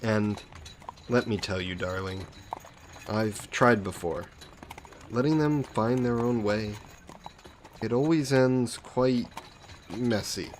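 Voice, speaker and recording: A man playing a suave, possessive vampire lord in an intimate fantasy audio roleplay, speaking softly and coaxingly with condescending affection, as if hypnotizing a captive. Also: fairly low pitch, takes it slowly, pauses often, a little hiss or hum. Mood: smug, persuasive. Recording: steady background carriage and rain ambience. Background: horse-drawn carriage and rain sound effects.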